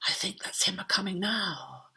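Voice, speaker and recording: A woman's voice: medium pitch, expressive, normal speed, vocal fry, bright, forceful tone, husky, heavily acted. A woman voicing a lonely, childishly wishful king with a pleading, dramatic storybook delivery. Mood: anxious hope. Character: lonely, childishly wishful bad king, hopeful and self-pitying.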